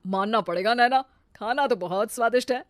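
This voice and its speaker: A woman voicing a proud, affectionate older brother in a cartoon story, gentle and reassuring. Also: on the high side, fairly quick, dry recording, studio quality, natural voice. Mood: proud, approving. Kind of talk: cartoon moral-story dialogue.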